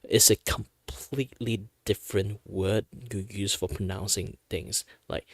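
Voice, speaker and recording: A man's voice: fairly low pitch, little pitch movement, unhurried, dry recording. A man from Malaysia with a Malaysian accent, Mandarin his first language, chatting casually and rambling with many fillers as a streamer. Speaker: VTuber streamer. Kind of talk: casual chat while drawing on stream.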